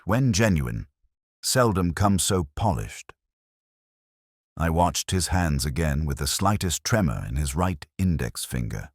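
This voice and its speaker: A man narrating a scripted first-person detective story in a measured, solemn, literary manner. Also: a bass voice, husky, no echo, studio quality.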